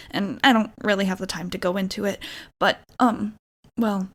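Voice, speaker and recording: A woman's voice: medium pitch, creaky. A young woman playing a nervous, awkward, kindly vampire roommate in an intimate ASMR roleplay, hesitant, rambling and apologetic, slipping into whispers. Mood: explaining, reassuring.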